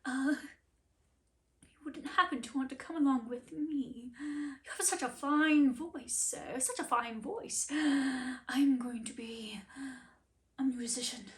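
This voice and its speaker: A woman's voice: high voice, slow, pauses often. A woman doing an earnest old donkey. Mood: eager. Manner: pleading, earnest, fond of singing.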